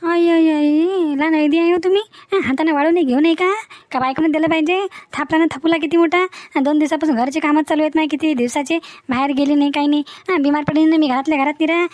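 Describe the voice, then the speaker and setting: A woman playing a talkative, sharp-tongued village housewife in a comic cartoon, with a rural Varhadi accent from Maharashtra and an emphatic, gossipy manner. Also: high-pitched, fairly even intonation, quick pace, no echo, studio quality, lightly acted. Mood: scolding.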